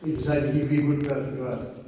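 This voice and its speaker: A man's voice: medium pitch, level tone, fast, warm tone, slight background noise. An older man with an Irish accent giving an informal talk to a group as a priest, telling stories with asides. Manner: conversational, storytelling with asides and quotes. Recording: echoey hall, distant mic, dull sound.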